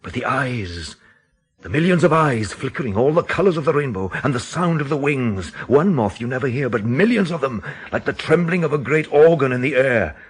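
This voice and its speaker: An actor in a radio drama playing a grave, intense man who speaks in an emphatic, deliberate, theatrical way. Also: medium pitch, expressive, slightly rough. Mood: haunted, vivid. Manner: emphatic, dramatic, deliberate phrasing with rhetorical questions. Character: grave, intense countryside man expounding a dreadful theory.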